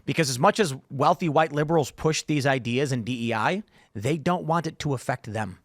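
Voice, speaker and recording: A man's voice: mid-range voice, a little fast, no echo, clean studio sound. A man hosting a casual political panel show, opinionated and prone to acting out imagined exchanges. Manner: opinionated, acts out imagined dialogue. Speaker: podcast host.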